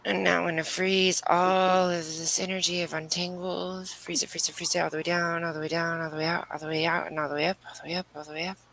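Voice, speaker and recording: A woman's voice: fairly low pitch, little pitch movement, quick pace, creaky, few pauses, fairly bright tone, poor audio. A woman working as a spiritual medium, guiding a breathing and energy-healing session with repetitive, incantatory phrasing.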